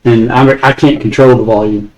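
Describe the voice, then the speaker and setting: A man serving as game master in a tabletop game stream, laughing often and tossing out quick asides. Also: medium pitch, fairly even intonation, a little mellow, low-quality recording. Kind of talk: casual tabletop game chat.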